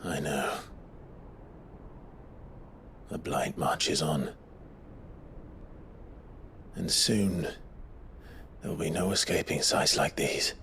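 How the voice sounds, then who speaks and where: An actor playing a grave, resolute outlaw leader in a video game cutscene, speaking in a solemn, measured way. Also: male voice, extremely low pitch, slight background noise, full character voice. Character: grave, resolute outlaw leader, deep-voiced young man. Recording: loud music behind. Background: loud music.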